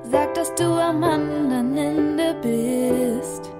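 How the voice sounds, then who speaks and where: A woman sings a sentimental ballad in a high voice. The phrasing is extremely slow and drawn out, with prominent piano-led music behind it. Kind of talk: singing a slow sentimental love song. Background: piano-led musical accompaniment.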